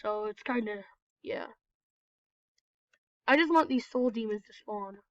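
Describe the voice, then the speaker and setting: A woman's voice sits a little high and sounds stuffed up and nasal from a cold, chatting in a loose, rambling way while she plays. The recording is dull and lo-fi, with no room sound at all. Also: a little slow, fairly bright tone, dry recording. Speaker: gameplay video host. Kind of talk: casual game commentary.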